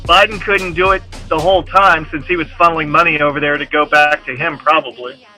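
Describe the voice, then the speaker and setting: High, bright-voiced man cracking jokes. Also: steady tone, fairly quick, faint music behind, low-quality recording. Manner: joking, wisecracking. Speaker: livestream co-host. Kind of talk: casual stream chat.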